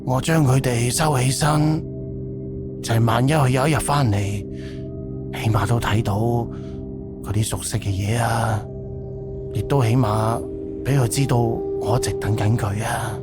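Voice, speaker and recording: A man's voice: medium pitch, fairly even intonation, measured pace, long pauses, close to an everyday voice. A man acting a grieving, hospitable middle-aged amusement park director in a Cantonese audio drama. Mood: wistful. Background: background music.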